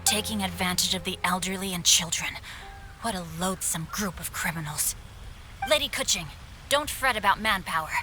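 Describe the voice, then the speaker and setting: A man's voice: sits high, moderate pace, music in the background, close to an everyday voice. A voice actor playing a refined, gallant young nobleman in a video game, with formal, courtly phrasing.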